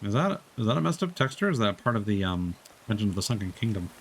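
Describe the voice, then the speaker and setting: A man's voice: fairly low pitch, very animated, fast talker, slight background noise. A man doing casual live gameplay commentary, chatty and thinking aloud. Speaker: game streamer.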